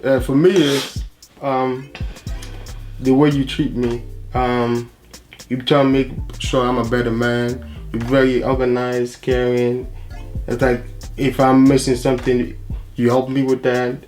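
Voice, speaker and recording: A young man co-hosting a casual couple's video, soft-spoken and halting, with many pauses, and his voice is going as he gets sick. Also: mid-range voice, flat delivery, faint music behind. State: losing his voice, getting sick. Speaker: vlog co-host.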